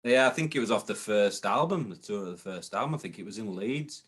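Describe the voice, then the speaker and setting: A man's voice: on the low side, expressive, a little fast, a bit bright, slightly rough. A man, a guest in a casual interview chat, hesitant with many ums and repeated starts. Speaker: guest, booking agent.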